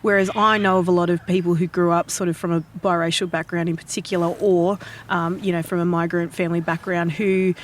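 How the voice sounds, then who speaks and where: A woman's voice: lowish voice, flat delivery, brisk, hardly any pauses, slight background noise. An Australian woman hosting a podcast, asking questions in a thoughtful, reflective way. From Western Sydney, Australia. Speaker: podcast host. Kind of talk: interviewing.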